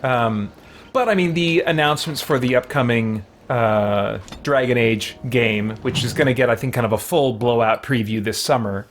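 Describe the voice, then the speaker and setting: A man's voice: medium pitch, normal speed, slight background noise. A man chatting casually and a little self-effacingly while livestreaming a game. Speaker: game streamer.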